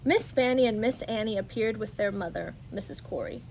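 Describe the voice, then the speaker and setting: A woman reading a children's story aloud in an expressive storytelling style. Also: medium pitch, a little fast, slight background noise, poor audio.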